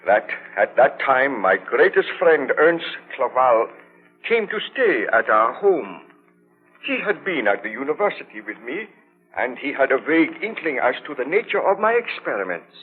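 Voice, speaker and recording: An actor playing an exhausted, obsessed baron, with solemn, formal diction in 1930s radio drama style. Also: male voice, medium pitch, narrow, tinny audio. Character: exhausted, obsessed baron haunted by his monster. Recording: scratchy, thin 1930s radio broadcast recording.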